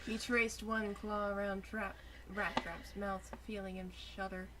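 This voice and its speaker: A woman with a mid-pitched voice, moving between dramatic storybook-style reading and casual joking asides. The recording is clearly noisy, with small clicks. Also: level tone, measured pace. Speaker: reader of fan fiction.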